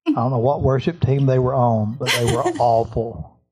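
A man on a church staff, telling corny Christmas jokes in a deadpan way. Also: medium pitch, moderate pace, a crowd in the background. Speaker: staff member.

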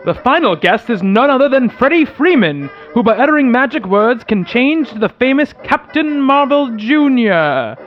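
A man's voice: very high voice, big pitch swings, normal speed, hardly any pauses, highs cut off, soft background music. A man hosting and narrating a radio play with dramatic scripted delivery. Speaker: podcast host and narrator.

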